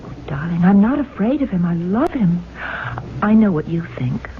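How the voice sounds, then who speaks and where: A woman's voice: medium pitch, sing-song, normal speed, close to an everyday voice. An actress playing an anxious, tender, devoted woman with a pleading, melodramatic manner in a 1970s radio drama. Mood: earnest, tender. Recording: noisy, tinny 1970s radio recording.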